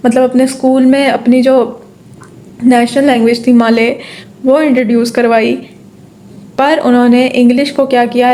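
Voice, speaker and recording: A woman's voice: fairly high pitch, warm tone, a little hiss or hum. A woman giving an exam-prep lecture, explaining step by step, asking and answering her own questions, with frequent fillers and check-ins. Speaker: tutor. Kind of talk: exam-prep lecture in Urdu-English mix.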